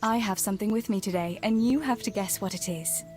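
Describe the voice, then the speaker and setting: An actress playing a tender, gently teasing young village woman in a medieval video game, soft-spoken and earnest. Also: medium pitch, a little fast, faint music behind, natural voice. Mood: playful, teasing. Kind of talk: naturalistic video game dialogue acting.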